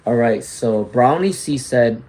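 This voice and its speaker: A man doing a live tarot reading at a middling pitch. He talks to his viewers directly, greets people from the chat, and keeps checking in with "all right?" and "okay?" as he goes. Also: animated, unhurried, darkish tone, slight background noise, rough recording. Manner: reads out viewer comments and greets chatters. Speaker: tarot reader.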